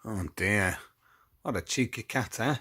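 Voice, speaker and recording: A man chatting casually as he reads a picture book with a young child, gently encouraging with short replies and questions. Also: on the low side, a little slow, breathy edge. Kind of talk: casual read-aloud chat with a child.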